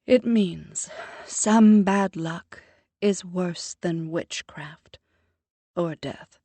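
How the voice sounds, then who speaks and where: A woman's voice: lowish voice, very slow, warm tone, dry recording, heavily acted. Stern Jamaican mother, read by a woman. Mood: weary. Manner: formal, commanding, lecturing. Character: proud composed older Jamaican mother. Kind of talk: audiobook character voice.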